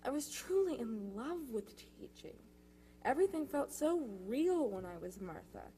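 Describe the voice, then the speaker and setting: High-voiced young woman giving a testimonial. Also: very animated, a little slow, mellow. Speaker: youth speaker at a church service. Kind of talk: prepared personal testimonial speech. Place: church, speaking to a congregation. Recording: live speech with faint noise and hum.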